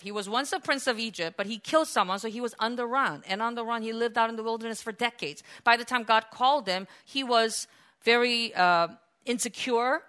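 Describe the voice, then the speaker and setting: Woman preacher, sermon, animated, conversational, bright tense voice, a bit high. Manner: animated, conversational, laughs often, restarts.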